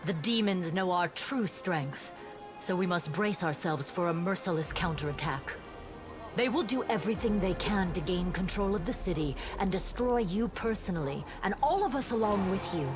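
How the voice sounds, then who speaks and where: An actress playing an earnest, dutiful female paladin officer in a fantasy game, speaking in a formal, measured reporting manner. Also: fairly low pitch, expressive, natural voice. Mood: formal, composed. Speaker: game character reporting to a commander. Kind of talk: voice-acted fantasy game dialogue. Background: music.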